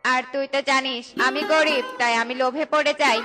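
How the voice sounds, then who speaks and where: Poor, remorseful male rabbit; high pleading cartoon voice over music. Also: fairly even intonation, fairly quick, sharp tone. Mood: confessing. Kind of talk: children's cartoon voice acting.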